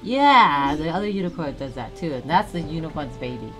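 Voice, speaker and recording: Expressive woman chatting playfully along with a game. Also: low-pitched, a little fast. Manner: encouraging, exclaiming, simple questions to child. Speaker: mother playing a game with young child. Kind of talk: casual play-along chat. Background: music.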